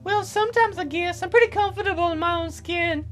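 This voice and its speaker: A woman's voice: very high voice, a little fast, faint music behind, pitched above the performer's own voice. A woman voicing a grieving, haughty widowed novelist in a visual novel, with a dramatic, proper manner. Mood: composed, gracious.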